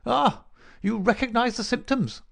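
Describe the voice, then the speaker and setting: A man's voice: very high-pitched, unhurried, gravelly, a bit bright, voice pushed up, full character voice. A man voicing a cruel, mocking villain in a storybook reading, gloating and taunting with pointed questions. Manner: taunting, insistent, rhetorical questioning.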